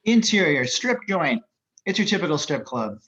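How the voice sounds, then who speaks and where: A man narrates scripted action descriptions at a table read. His voice is on the higher side for a man. The recording is dull and lo-fi. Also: big pitch swings, normal speed, a bit bright.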